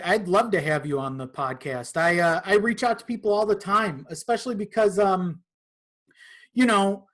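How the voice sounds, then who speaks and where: A man's voice: high voice, fairly bright tone. Casual man talking about his creative work. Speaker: podcast guest, horror audio drama creator.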